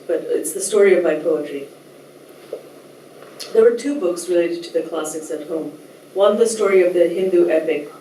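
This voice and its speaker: Mellow-voiced woman speaking in a roomy hall. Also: fairly low pitch, a little hiss or hum. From Nepal. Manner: thoughtful and measured, with soft hesitations. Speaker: writer giving a talk. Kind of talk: lecture, partly reading a translation aloud. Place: lecture room. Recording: roomy echo, distant mic, faint ambience.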